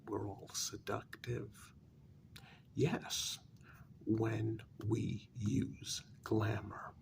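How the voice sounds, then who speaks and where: A man playing a jaded, irritable vampire in a soft-spoken ASMR role-play, with weary sarcasm and a gravelly, half-whispered voice. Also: mid-range voice, a little hiss or hum, exaggerated voice. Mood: scornful, menacing. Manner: slow, deliberate, weary sarcasm. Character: jaded, irritable male vampire hiding a hideous face.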